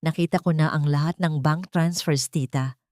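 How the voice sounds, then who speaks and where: A woman voicing a wronged, defiant daughter with a sharp, accusing manner in an audio drama. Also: low voice, steady tone, normal speed, no echo, studio quality, lightly acted. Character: Marian, wronged daughter, cold, defiant, accusing her stepmother.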